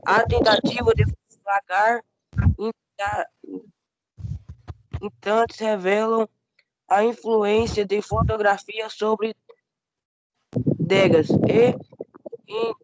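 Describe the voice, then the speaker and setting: Hoarse young reader stumbling slowly. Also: male voice, high-pitched. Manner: halting reading, stumbles over words. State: hoarse with a cold. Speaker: student reading aloud. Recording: noisy lo-fi call audio.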